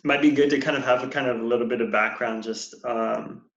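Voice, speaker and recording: A man in his forties, a counselor, telling his life story as a guest in a relaxed, meandering way with many fillers. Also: mid-range voice, a little fast. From United States. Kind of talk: casual interview. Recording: dull, echoey room sound.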